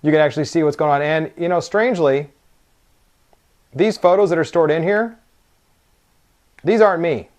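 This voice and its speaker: A man's voice: on the high side, normal speed, pauses often, darkish tone. A man reviewing a product hands-on, talking informally and explaining things step by step. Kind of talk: casual unboxing and hands-on demo talk.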